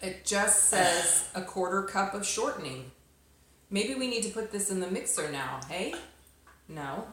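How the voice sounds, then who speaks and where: A woman's voice: mid-range voice, animated, measured pace, a bit bright, recorded from a distance, a little hiss or hum. A middle-aged woman hosting a casual, teasing chat in her home kitchen. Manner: bubbly, teasing. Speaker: channel host. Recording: very echoey kitchen.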